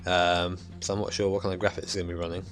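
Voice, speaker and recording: A young man, chatting casually and hesitantly about a racing game he is playing, his voice croaky. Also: deep voice, flat delivery, racing. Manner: rambling, hesitant, with many ums. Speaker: gaming YouTuber. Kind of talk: casual gameplay commentary.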